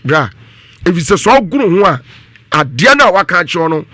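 A man's voice: high voice, sing-song, quick pace, sharp tone, no room sound, clean studio sound. A middle-aged man giving earnest, emphatic commentary on a radio panel, repeating phrases for weight. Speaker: studio panelist. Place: small indoor radio studio. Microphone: studio desk microphone.

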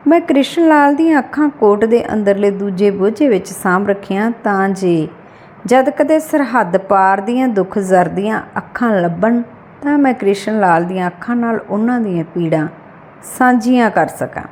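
A woman's voice: mid-range voice, lively intonation, moderate pace, darkish tone, slight background noise. A woman reading a scripted short story aloud as an audiobook narrator.

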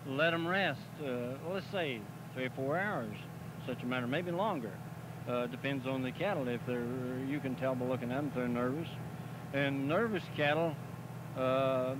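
A middle-aged man, talking plainly and haltingly about cattle in an outdoor interview, with many "uh"s and "see?"s. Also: mid-range voice. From Missouri, US. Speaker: packing plant representative for a farmers group. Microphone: handheld mic held by interviewer. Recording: noisy 1970s 16mm film sound, outdoors.

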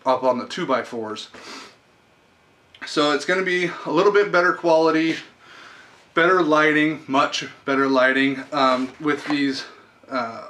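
Bright-voiced man chatting in his workshop. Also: mid-range voice, slight background noise. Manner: chatty and rambling, many ums and uhs. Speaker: woodworking channel host. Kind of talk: casual shop update to camera. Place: small indoor workshop under fluorescent lights. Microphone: camera mic close in front.

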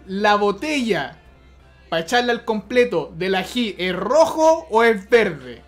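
A man's voice: high voice, sing-song, slow, faint music behind. A man with a Chilean accent hosting a casual online group show, joking crudely and swearing freely.

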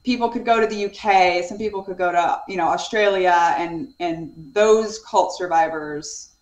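A woman's voice: fairly low pitch, normal speed, roomy sound. A woman in her thirties, an author talking earnestly and reflectively as a guest on a video call from her home study. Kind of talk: conversational interview.

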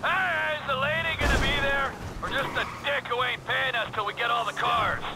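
Creaky, high-pitched unhinged criminal. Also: male voice, steady tone, normal speed, noisy background. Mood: wheedling, eager. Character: unhinged, crude middle-aged criminal, lonely and needy. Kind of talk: video game character acting.

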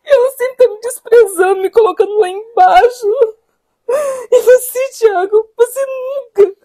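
Casting: actress as crying Brazilian wife, extremely high voice, plaintive, reproachful, domestic drama. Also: female voice, moderate pace. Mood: tearful, reproachful. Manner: plaintive, reproachful, repeating herself. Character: tearful, long-suffering wife pleading with her passive husband.

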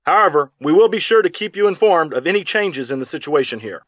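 A man's voice: a little high, lively intonation, fast talker, no echo, dull audio. A man playing a formal, businesslike field news reporter giving a scripted report in a video game. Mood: formal, brisk. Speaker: news correspondent.

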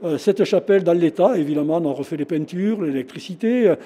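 A man's voice: on the high side, expressive, quick pace, mellow, husky. A man in his sixties, a shop owner and local historian, talking through a face mask in a casual interview, with many fillers and restarts. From France. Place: large former chapel, indoors. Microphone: handheld interviewer mic, face mask on. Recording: long reverb of a stone chapel.

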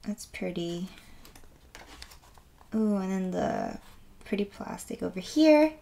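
Soft-spoken raspy young woman, slow. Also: mid-range voice, lively intonation, slight background noise. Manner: soft, rambling, gushing with many fillers. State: raspy voice today. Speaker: album unboxing vlogger. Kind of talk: casual unboxing chat. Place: indoors at a wooden table.

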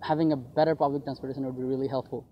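A man's voice: mid-range voice, flat delivery, rapid-fire, lo-fi sound. A young man from Nepal, a college freshman, giving hesitant interview answers outdoors. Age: young adult. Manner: hesitant, restarts phrases. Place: outdoors on a lawn. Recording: background music under voice.

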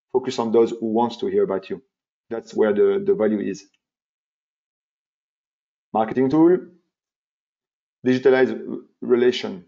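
A man's voice: medium pitch, mellow. A man, presenting a marketing webinar in an emphatic, repetitive way with many fillers and restarts. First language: French. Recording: dull, muffled webinar audio.

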